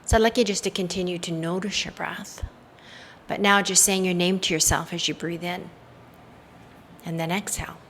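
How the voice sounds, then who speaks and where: A woman with a low voice leads a guided meditation. Her delivery is soothing, with creaky fry as phrases trail softly away. A faint layer of background noise sits under the recording. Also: steady tone, moderate pace. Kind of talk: guided meditation, hypnotic induction.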